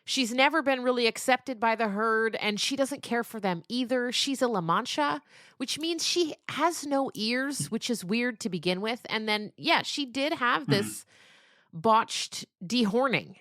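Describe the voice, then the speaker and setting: A woman being interviewed as a novelist, telling stories casually in an animated, rambling way with emphatic descriptions. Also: a little high, measured pace, no room sound.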